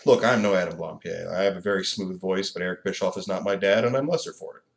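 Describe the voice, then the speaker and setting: A man co-hosting a podcast in casual chat, animated and profane, laughing often. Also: deep voice, quick pace, vocal fry. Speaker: podcast co-host.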